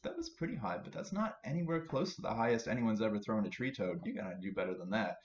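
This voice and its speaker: A man's voice: fairly low pitch, lively intonation, fast, lightly acted. In a roomy, slightly dull recording, a man acts out a little storybook tree toad. He is coaxing and self-assured, a small trickster who likes to taunt. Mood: sly, taunting. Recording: roomy, dull home recording with faint noise.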